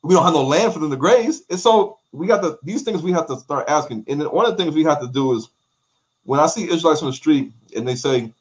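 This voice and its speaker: A man's voice: a little high, lively intonation, quick pace, low-quality recording. A middle-aged man as an interview guest on a video call, talking emphatically with repeated words and rhetorical questions. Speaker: documentary maker, guest. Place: bright room with light walls.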